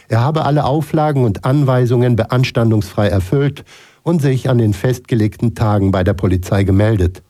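Male correspondent, standard German of Germany, mid-pitched and mellow, scripted news report.

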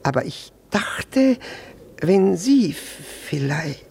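A man's voice: high voice, sing-song, drawn-out words, creaky, a little mellow, husky, exaggerated voice. A male actor in a German radio play, playing a flustered, jealous, nervously polite middle-aged husband who stammers and repeats himself. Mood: flustered, hesitant. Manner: stammering, hesitant, repeats words, fussily polite.

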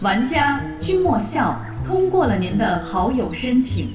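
Female game interface voice, high, formal, echoing and distant. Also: very animated, a little slow. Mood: neutral, formal. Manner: formal, automated announcing. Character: polite female game system announcer. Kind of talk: game system notifications. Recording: echoing, distant, music behind.

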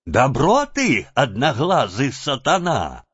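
A man's voice: high-pitched, sing-song, slow, fairly bright tone, no echo, lo-fi sound, pitched above the performer's own voice, heavily acted. A man voicing an older woman, the village head's sister-in-law, who shrieks accusations at him. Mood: furious scolding.

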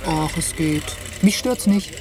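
An actress playing a friendly, informal young holiday acquaintance in a naturalistic radio drama. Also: female voice, low voice, unhurried, a crowd in the background. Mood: casual. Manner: informal, switches into Portuguese to interpret. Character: friendly, casual young woman, helpful German holiday acquaintance. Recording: noisy cassette tape of radio play.

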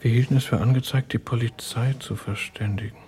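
A man's voice: medium pitch, steady tone, fairly quick, a little mellow, natural voice. An actor playing a formal, businesslike man reading official correspondence in a radio drama. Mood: stern, official.

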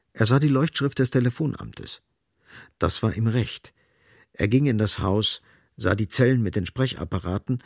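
A man's voice: lowish voice, gravelly, slightly rough, no room sound, studio quality. A man reading literary scripted narration as the narrator of a radio drama.

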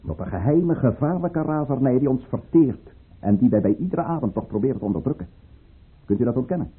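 An actor playing a ruthless, fervent count who leads a conspiracy, with declamatory, commanding delivery in a Dutch radio play. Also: male voice, medium pitch, expressive, a little fast, slightly rough. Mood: fervent, forceful. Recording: muffled, narrow-band old radio recording.

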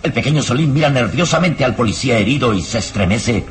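An actor as the grave narrator of a radio drama, giving formal, suspenseful scripted narration. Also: male voice, mid-range voice, expressive, fast talker, lightly acted. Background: dramatic music.

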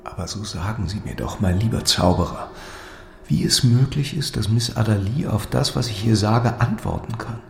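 A man's voice: fairly low pitch, lively intonation, normal speed, gravelly. A man playing a polite, puzzled young lord in an audio drama. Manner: formal, courteous, questioning. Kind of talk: theatrical audio drama dialogue. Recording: echoey room, faint noise.

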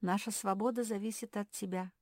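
Woman voicing a female dog, storybook, gentle, earnest, hushed, persuasive, raised pitch. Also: fairly even intonation, fairly quick, no echo, studio quality, lightly acted. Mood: coaxing. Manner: whispery, coaxing.

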